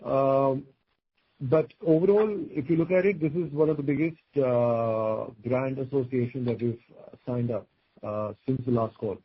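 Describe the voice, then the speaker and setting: A man with an Indian accent answering as a company executive on a business conference call, explaining things in a halting way. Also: mid-range voice, slightly rough. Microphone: conference call line. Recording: dull, lo-fi conference call audio.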